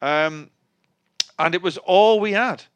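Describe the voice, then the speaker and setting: A man's voice: high-pitched, clear, resonant tone, dull audio. Dry-witted middle-aged Mancunian man. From Manchester, England. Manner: dry, wry, halting with restarts. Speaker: guest musician. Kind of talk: casual interview chat.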